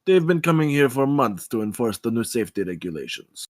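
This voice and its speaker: A man acts a lean, towering head scientist of about sixty. He is suspicious and authoritative, with a mid-pitched voice in a close, dry sound. It is a script table read. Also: big pitch swings, brisk, no room sound, lightly acted. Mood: suspicious, stern.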